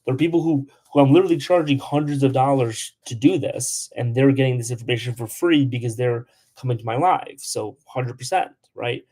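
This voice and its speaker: A man's voice: medium pitch, fairly quick, hardly any pauses, darkish tone, husky. A young man hosting a casual livestream, answering comments with lots of "like" and blunt asides. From Polish. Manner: chatty, lots of "like", blunt toward trolls. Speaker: travel livestream host.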